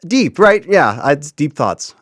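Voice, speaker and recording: A man's voice: a little high, very animated, measured pace, fairly bright tone, dry recording. A young man explaining his creative project as an interview guest, conversational and articulate with a wry streak.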